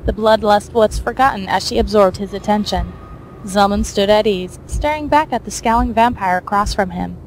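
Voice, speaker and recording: A woman's voice: mid-range voice, normal speed, a little hiss or hum, low-quality recording. A woman reading scripted fiction as the narrator of an audio story.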